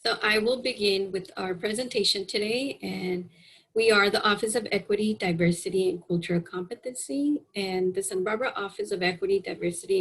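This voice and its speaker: A woman student program advisor presenting online in a soft, measured way. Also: mid-range voice, slightly rough, poor audio.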